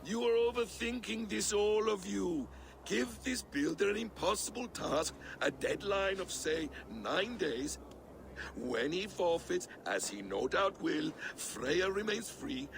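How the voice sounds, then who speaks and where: An actor playing a sly, proud, defiant trickster god with theatrical, smooth-tongued delivery in a video game. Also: male voice, high voice, animated, a little slow, noisy background, heavily acted. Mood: sly, persuasive. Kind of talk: video game cinematic acting.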